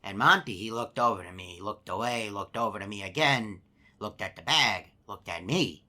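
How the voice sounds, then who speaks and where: A man's voice: fairly low pitch, moderate pace, bright, forceful tone. A man voicing a chatty male hippo animatronic who tells a long folksy story, rambling with lots of uh and you know. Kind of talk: game character monologue.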